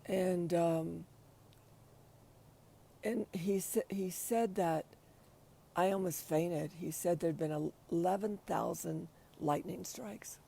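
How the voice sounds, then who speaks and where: A middle-aged woman with a raspy voice, speaking hesitantly on her livestream with many restarts and pauses, sometimes short of breath. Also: lowish voice, dark tone. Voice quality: raspy after covid. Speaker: livestream host. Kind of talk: casual spoken reflection.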